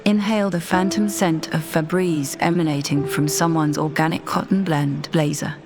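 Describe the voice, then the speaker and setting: Woman, slightly low voice, scripted meditation narration, measured and soothing, prominent background music. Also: fairly even intonation. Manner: measured, deliberate, soothing delivery. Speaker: meditation guide.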